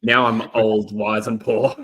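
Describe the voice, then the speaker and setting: A relaxed man in his thirties with a lowish voice, chatting casually as he watches a football match. He thinks aloud and laughs easily. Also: unhurried. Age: 30s. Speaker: co-host of a live football watchalong. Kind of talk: casual chat.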